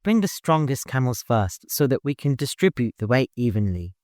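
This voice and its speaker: A man voicing a hurried, no-nonsense master organizing a caravan in an audiobook, courteous but commanding. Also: medium pitch, very animated, darkish tone, dry recording, studio quality, natural voice. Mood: grateful, instructing.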